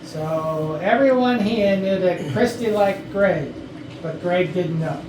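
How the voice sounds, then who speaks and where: A woman's voice: on the low side, slow pace. A woman playing a blunt, sardonic investigator in a murder mystery comedy reading, with a dry, probing, assertive manner. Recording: distant mic, echoey noisy room.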